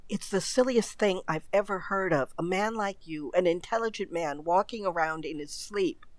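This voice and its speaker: A woman's voice: fairly low pitch, moderate pace, no echo, lightly acted. A woman playing an impatient, suspicious well-to-do wife who questions sharply in a radio mystery. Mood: impatient, scolding. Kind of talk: old radio mystery acting.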